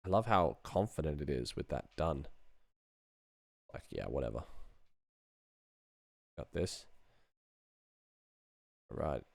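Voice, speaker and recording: A man's voice: rumbling low, sing-song, slow pace, gravelly, long pauses, dry recording. A middle-aged man chatting casually as he works on a livestream, thinking aloud in a dry, self-deprecating way. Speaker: sound designer streaming his work. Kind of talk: casual livestream chat while working.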